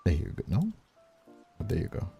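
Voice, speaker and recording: A man's voice: brisk, mellow. A man chatting casually on a livestream as a streamer and audio creator. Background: faint music.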